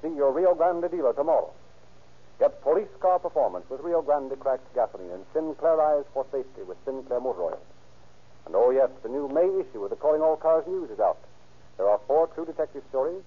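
A man's voice: mid-range voice, animated, brisk, slightly rough. A man with an American accent announcing in formal, declamatory 1930s radio style, on a tinny old recording. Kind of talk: 1930s radio narration and sponsor pitch.

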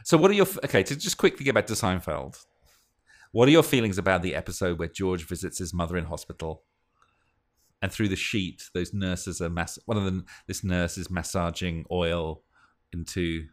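Slightly low-voiced man chatting casually. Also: normal speed. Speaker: podcast co-host. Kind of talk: casual chat.

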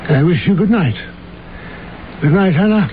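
An actor playing a gracious, dignified uncle in a radio drama, formal and deliberate. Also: male voice, lively intonation. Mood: gracious, approving. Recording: tinny old radio broadcast, faint rumble.

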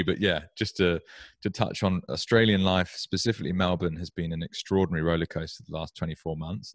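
Low-voiced British man hosting a chat. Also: no echo, studio quality. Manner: rambling, restarts a lot. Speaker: podcast host. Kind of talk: casual interview chat.

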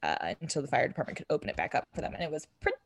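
A young woman with a booming voice, co-hosting a podcast and recapping a TV episode in casual, filler-heavy chat. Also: very animated, racing, vocal fry, no echo. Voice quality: booming voice that projects. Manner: chatty, full of fillers like "like" and "um". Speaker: podcast co-host.

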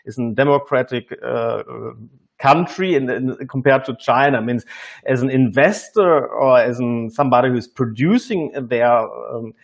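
A man hosting an informal business discussion, speaking haltingly and often repeating words mid-sentence. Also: medium pitch.

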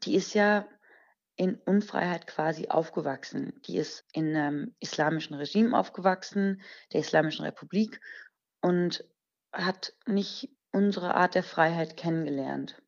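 A woman's voice: lowish voice, a little slow, gravelly, muffled recording. A woman in her twenties from Germany, a podcast guest explaining things earnestly with occasional fillers. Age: 20s. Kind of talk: conversational interview answers.

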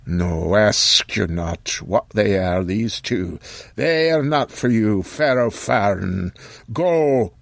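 A man's voice: lowish voice, slow, vocal fry, no echo, voice pushed up. A man voicing an old male scholar who speaks in an archaic way with Scots and German touches, weary and cryptic. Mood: urgent.

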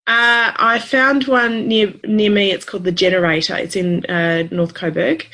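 Relaxed Australian woman podcast guest. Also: mid-range voice, moderate pace. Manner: relaxed, easygoing, many fillers. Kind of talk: casual conversation.

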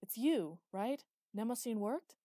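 A woman's voice: fairly high pitch, lively intonation, unhurried, mellow, no echo, studio quality, pitched above the performer's own voice. A woman voicing a distraught, anxious woman who apologizes over and over. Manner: pleading, repeated apologies.

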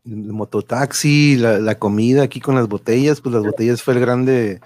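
A man's voice: mid-range voice, moderate pace, a little mellow. A man chatting casually as the host of a live show, playful and joking. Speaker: host of a live online radio-play show.

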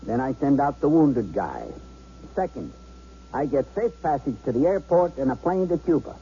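A man's voice: mid-range voice, big pitch swings, normal speed, mellow, lightly acted. Man playing a cocky, swooping-voiced bank robber. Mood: demanding. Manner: sarcastic, slangy, bossy tough-guy talk. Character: cocky, volatile male bank robber holding hostages. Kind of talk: radio drama acting. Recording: dull, noisy 1970s radio broadcast.

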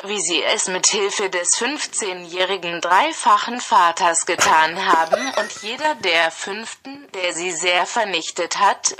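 A computer-generated woman's voice that reads text aloud at a deliberately slow pace. Its tone is bright and even, with the smooth sameness of a speech synthesizer. Every phrase arrives with the same measured rhythm. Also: on the low side. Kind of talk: flat machine readout of translated text.

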